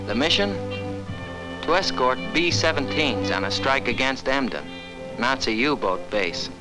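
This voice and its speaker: 1940s training film man over loud music. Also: medium pitch, lively intonation, unhurried. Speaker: fighter pilot narrator addressing trainees. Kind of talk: scripted 1940s military training film narration.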